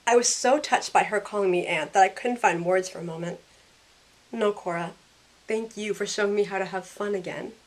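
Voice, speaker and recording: A sarcastic young woman tells her own story in an audio drama, acted by a woman. Her voice sits at a middle pitch and moves between narration and dialogue in a self-questioning way. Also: moderate pace, close to an everyday voice, poor audio. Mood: bright, playful.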